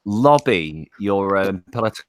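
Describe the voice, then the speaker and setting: Low-voiced hesitant teasing man. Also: lively intonation, moderate pace, clean tone, dry recording. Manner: hesitant, teasing, many fillers. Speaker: streamer. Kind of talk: casual banter on a stream.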